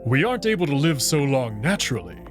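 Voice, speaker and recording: A man's voice: mid-range voice, big pitch swings, quick pace, music in the background, close to an everyday voice. A man voicing a big, young male alien wolf, caring and playful, in a visual novel. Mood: earnest, concerned. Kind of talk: visual novel character dub.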